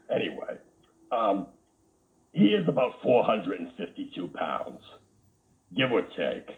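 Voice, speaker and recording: A man playing a flamboyant, theatrical male talk-show host with a Boston accent, gushing and calling everyone darling. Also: deep voice. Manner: gushing, calls everyone darling, camp asides. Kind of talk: comedic character interview. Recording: tinny phone-like line.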